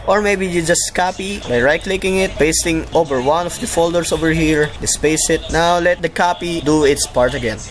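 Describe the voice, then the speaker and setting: A man with a Filipino accent narrating a software tutorial in an informal way. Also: a little high. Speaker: tutorial presenter. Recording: clearly noisy home voice-over recording.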